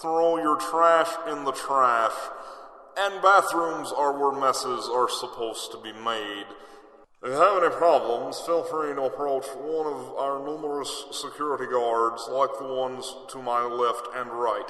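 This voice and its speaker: Man acting a slow, echoing, pompous convention emcee wizard. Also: medium pitch, sharp tone. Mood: grand, disdainful. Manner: grandiose, haughty, formal announcing. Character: pompous, condescending magician master of ceremonies at a convention. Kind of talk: fantasy comedy audio drama. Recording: echoey hall with long reverb.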